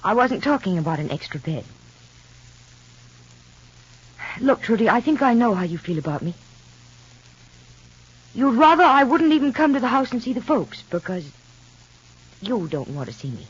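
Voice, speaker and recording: An actress in an old radio soap opera playing a frank, insistent, unhappily married woman, speaking earnestly and deliberately. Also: on the high side, very animated, breathy edge. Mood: hesitant, hurt. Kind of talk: 1940s-50s radio soap opera acting. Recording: muffled old radio recording.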